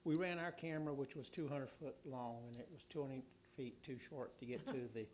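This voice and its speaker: Hoarse man giving a rambling report. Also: medium pitch, fairly even intonation, darkish tone, slight background noise. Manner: rambling, halting, many restarts and fillers. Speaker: city official giving a utility report. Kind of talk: council meeting report.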